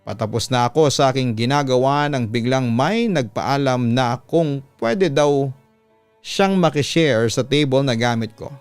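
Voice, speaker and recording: Smooth Filipino male storyteller over music. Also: mid-range voice, expressive, a little slow, dry recording. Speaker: radio announcer and story channel host. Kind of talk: dramatic reading of a listener's letter. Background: background music.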